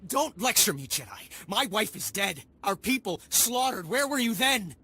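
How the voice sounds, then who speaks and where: A bereaved villager whose voice is husky, high and sharp. He spits bitter accusations at the hero. Faint score plays under him in this game scene. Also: sing-song, normal speed, heavily acted. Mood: furious. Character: grieving angry male native villager, bitter.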